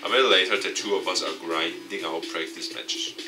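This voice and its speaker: A man reading a visual novel aloud and commenting off the cuff, dramatic with excitable asides. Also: sits low, fairly quick. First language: not English. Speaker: let's play YouTuber. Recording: distant mic, music behind. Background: game music.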